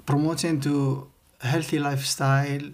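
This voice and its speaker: A man's voice: a little high, little pitch movement, moderate pace. A man from Algeria with an Algerian accent, speaking as a podcast guest and searching for his words. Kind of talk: informal interview talk.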